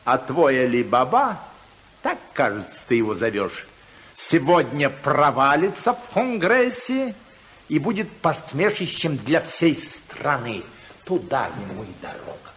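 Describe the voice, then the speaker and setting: An actor playing an imperious, stern older tycoon father in a 1950s radio play, commanding and theatrical, with deliberate pauses. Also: mid-range voice, big pitch swings, fairly bright tone. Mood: smug. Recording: 1950s radio drama recording.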